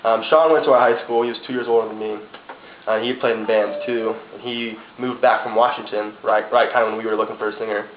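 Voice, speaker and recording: A man in his twenties, a bass player, chatting casually and jokingly in a group interview. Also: steady tone, fast talker. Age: 20s. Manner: laid-back, joking, rambling. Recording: echoey room, dull lo-fi sound, noisy.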